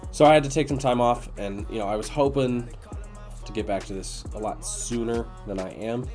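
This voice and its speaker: Congested nasally guy doing laid-out casual game commentary, kinda raspy, music behind him. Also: medium pitch, moderate pace, a little mellow. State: congested after being sick. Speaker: video game streamer and host.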